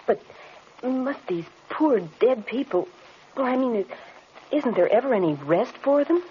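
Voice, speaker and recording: A woman's voice: a little high, animated, measured pace. An actress playing an investigator's earnest, deferential female assistant in old-time radio drama style. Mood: doubtful, troubled. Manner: polite, questioning, earnest. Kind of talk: 1930s-40s radio drama acting. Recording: muffled, hissy old radio recording.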